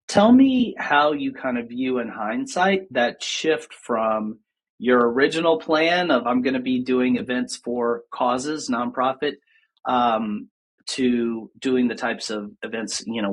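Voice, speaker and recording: A man's voice: medium pitch, husky, rough recording. A man hosting an interview podcast over a video call, upbeat and enthusiastic. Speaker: podcast host.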